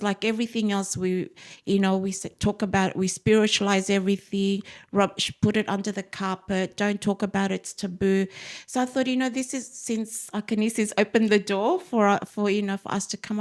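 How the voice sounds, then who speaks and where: A middle-aged woman, born in Fiji, talking earnestly and frankly as a guest in an interview. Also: medium pitch. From born in Fiji, raised in Australia. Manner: earnest, frank, speaks in loose run-on phrases. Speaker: podcast guest. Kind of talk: candid interview conversation.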